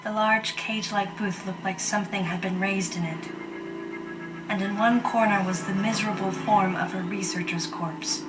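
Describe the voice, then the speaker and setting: An actress playing a stoic, introspective female bounty hunter, narrating her mission in a restrained, deliberate video game monologue. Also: mid-range voice, fairly even intonation, lightly acted. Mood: somber, brooding. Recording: distant game audio under loud music.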